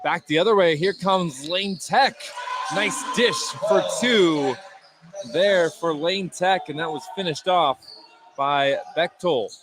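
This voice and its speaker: A man with a slightly high voice gives live basketball play-by-play. He follows the action in clipped, descriptive phrases. Clear gym noise and bouncing balls sit behind him. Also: slow pace. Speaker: play-by-play announcer. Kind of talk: live basketball radio commentary. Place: indoor basketball gym, courtside broadcast. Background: loud gym ambience, basketball bounces.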